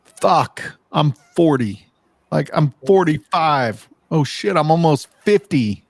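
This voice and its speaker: A man's voice: fairly high pitch, expressive, slow pace, gravelly, dry recording. A middle-aged man bantering and telling stories casually, with plenty of "dude," "like" and some swearing. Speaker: livestream co-host.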